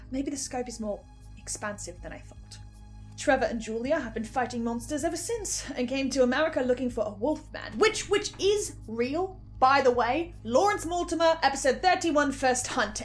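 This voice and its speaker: A woman host giving an informal fan recap and theory commentary, with animated asides that interrupt her own train of thought. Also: high-pitched. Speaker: host, first-time listener reviewer.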